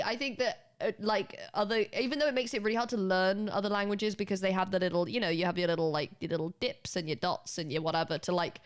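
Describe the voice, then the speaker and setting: A British woman streaming, chatting casually and rambling with her viewers, swearing freely and often restarting her sentences. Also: medium pitch. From Britain. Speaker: livestreamer.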